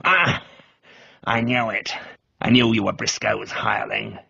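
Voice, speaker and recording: A man playing a furious, blustering, middle-aged country gentleman who splutters accusations in a radio comedy reading. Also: lowish voice, lively intonation, a little slow, vocal fry, lo-fi sound, a little hiss or hum, heavily acted. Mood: accusing.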